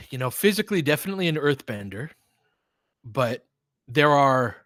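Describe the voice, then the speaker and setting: American guy doing casual hoops play-by-play, chatty with opinions, bright punchy voice, dry close sound. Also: medium pitch, sing-song, moderate pace, no room sound. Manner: informal, conversational, opinionated. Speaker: play-by-play host of a fan stream. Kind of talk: basketball play-by-play mixed with casual fan chat.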